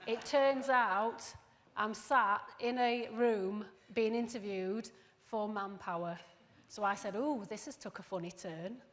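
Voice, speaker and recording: A woman in her forties with a Yorkshire accent, giving a jokey, self-deprecating conference talk full of asides and fillers. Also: mid-range voice. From Doncaster, England. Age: 40s. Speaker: conference speaker. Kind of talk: chatty, jokey presentation. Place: conference hall. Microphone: handheld or lapel mic. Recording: long hall reverb.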